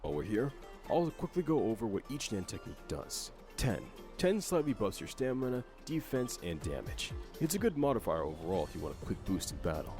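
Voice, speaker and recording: Low-voiced man narrating a game explainer. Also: very animated, fairly quick, a little mellow, soft background music.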